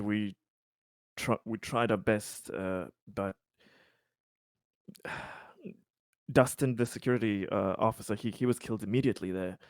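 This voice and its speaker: A man playing an earnest, apologetic space colony officer in a tabletop game, speaking formally and haltingly. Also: lowish voice, clean tone, no echo, studio quality, close to an everyday voice.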